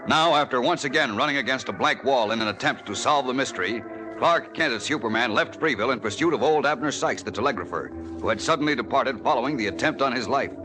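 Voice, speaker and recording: A man's voice: mid-range voice, lively intonation, quick pace, poor audio. A man narrating a 1940s radio serial as announcer, emphatic, suspenseful and theatrical. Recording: old radio broadcast with music behind.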